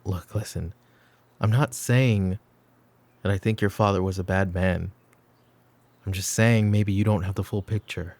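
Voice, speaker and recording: A man playing a weary middle-aged mafia boss in a roleplay audio drama, stern but protective, speaking in a measured, commanding way. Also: a little mellow. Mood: stern, firm. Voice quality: low, gravelly.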